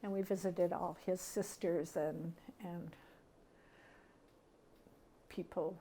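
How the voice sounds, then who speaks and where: Middle-aged woman reminiscing in halting conversation. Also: fairly low pitch, steady tone, mellow, a little hiss or hum. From Canada. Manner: halting, conversational, thinking aloud. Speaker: interviewee sharing family memories. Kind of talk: casual personal reminiscence.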